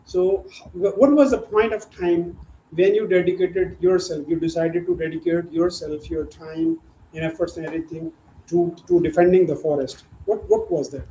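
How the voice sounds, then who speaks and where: A man's voice: high voice, fairly even intonation, warm tone. Male host in an echoey room. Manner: halting, repeats words while phrasing questions. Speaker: show host. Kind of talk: casual interview hosting. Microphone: remote video call. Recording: echoey room with faint background hum.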